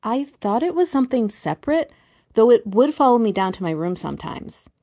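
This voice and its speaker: Animated, higher-pitched young woman by an actress. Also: fairly quick. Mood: earnest.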